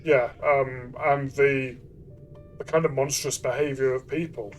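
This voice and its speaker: A man's voice: mid-range voice, fairly even intonation, a little slow, far from the mic, faint music behind. A man co-hosting a casual game review, talking conversationally and hesitantly, repeating phrases as he builds his point. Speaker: co-host of a game review show.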